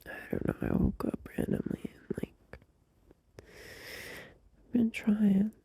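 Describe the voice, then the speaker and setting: A man voicing a gentle, loving young boyfriend in an ASMR audio roleplay, talking in a soft, drowsy whisper close to the mic. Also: high voice, wildly swooping pitch, vocal fry, slightly rough, exaggerated voice. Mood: tender, sleepy. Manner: soft drowsy whisper, unhurried, with small laughs.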